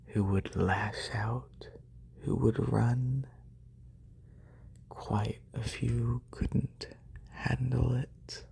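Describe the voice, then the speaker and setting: A man playing a haughty, wealthy lamia noble in a soft, breathy ASMR whisper, slow and deliberate, close to a binaural microphone. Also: mid-range voice, full character voice.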